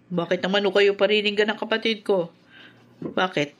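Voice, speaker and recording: Radio drama: actress for suspicious daughter, Filipino accent, mid-range voice, pointed delivery. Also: female voice, mid-range voice, steady tone, normal speed, natural voice. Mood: accusing. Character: suspicious, protective daughter making pointed insinuations.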